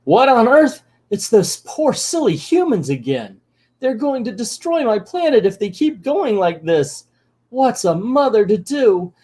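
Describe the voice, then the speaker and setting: A man's voice: extremely high pitch, very animated, measured pace, darkish tone, voice pushed up, exaggerated voice. A man voicing Gaia, an ancient motherly female earth spirit in a comic, with a theatrical, worried manner. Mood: dismayed.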